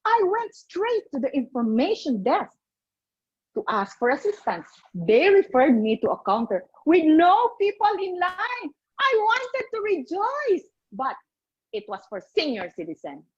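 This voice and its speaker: A woman in her late fifties with a Filipino accent, delivering a humorous contest speech in a theatrical, deliberate manner over an online call. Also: extremely high pitch, wildly swooping pitch. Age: late 50s. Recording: muffled online video call.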